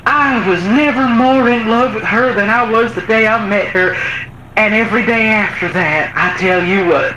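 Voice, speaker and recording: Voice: mid-range voice, moderate pace, pushed and bright, reverberant, lightly acted. A performer voicing Mama Bear, a folksy older trucker with a Texan accent, rambling in homespun sayings over a noisy radio line. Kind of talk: improvised comedy chat.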